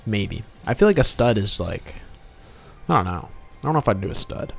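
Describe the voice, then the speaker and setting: A man's voice: lowish voice, sing-song, moderate pace, vocal fry. A man hosting a casual livestream while he draws, bantering and joking with viewers as a game developer. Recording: music playing behind the voice. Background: background music.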